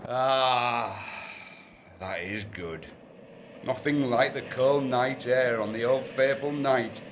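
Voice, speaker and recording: An actor playing a lusty, boozy duke in a period comedy radio play, pompous and exclaiming. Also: male voice, mid-range voice, slow pace, noisy background, lightly acted. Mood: boisterous, boastful. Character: lusty, blustering, boozy duke on his wedding night.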